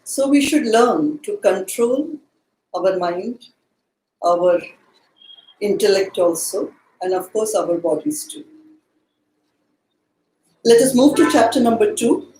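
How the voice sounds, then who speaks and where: A woman hosting a book study, speaking in a measured way as she sums up points and poses questions. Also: medium pitch, lively intonation, mellow. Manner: measured, summarizing and posing questions. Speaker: study session host. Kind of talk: guided book study discussion. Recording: echoey, roomy sound.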